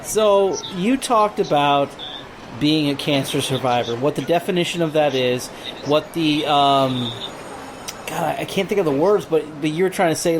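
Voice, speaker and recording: A man hosting a casual phone interview in a conversational way. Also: a little high. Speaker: podcast host. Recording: noisy phone call with outdoor ambience.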